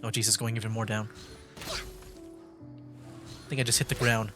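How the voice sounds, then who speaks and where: A man's voice: fairly low pitch, steady tone, normal speed. A man streaming a game, giving casual live commentary, thinking aloud with mild exclamations. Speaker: streamer, VTuber gamer. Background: faint game music.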